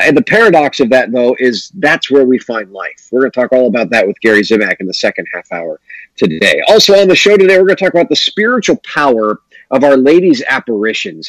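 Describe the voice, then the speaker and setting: A man's voice: mid-range voice, husky, no room sound, poor audio. A man hosting a morning talk radio show, running through the lineup in an upbeat announcer way. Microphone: studio microphone.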